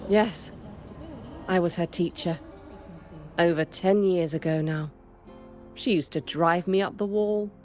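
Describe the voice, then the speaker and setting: A woman's voice: on the low side, steady tone, slow, long pauses, darkish tone, faint music behind. A woman playing a stern, dry, world-weary former teacher in a game, speaking in a composed, matter-of-fact way. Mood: wry, wistful. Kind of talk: scripted game dialogue.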